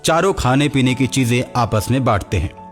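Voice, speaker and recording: A man's voice: mid-range voice, lively intonation, moderate pace. A man narrating a scripted story as its narrator. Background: music.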